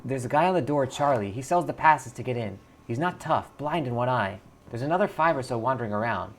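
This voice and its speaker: A careworn, grieving woman in her thirties from a cyberpunk game, as a male player voices her. She speaks warily in short, clipped sentences with a gravelly edge, over background music. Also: medium pitch, lively intonation, brisk. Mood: wary, informative.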